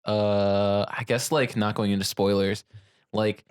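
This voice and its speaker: A man's voice: fairly low pitch, flat delivery, measured pace, clean tone, no room sound. A man co-hosting a podcast in casual, unscripted discussion, with a dry, offhand and hesitant manner. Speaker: podcast co-host.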